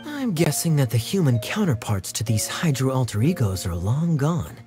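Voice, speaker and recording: Game role: sly, observant gentleman played by a man, wry, lively intonation, music behind. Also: mid-range voice, moderate pace, natural voice. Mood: knowing, wry. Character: suave, sly, perceptive man. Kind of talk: video game cutscene voice acting.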